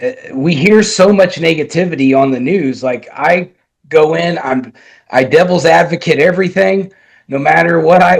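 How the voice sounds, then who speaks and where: A man's voice: on the high side, gravelly. A man sharing blunt political opinions in a casual chat, emphatic and often restarting his sentences. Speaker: guest commentator.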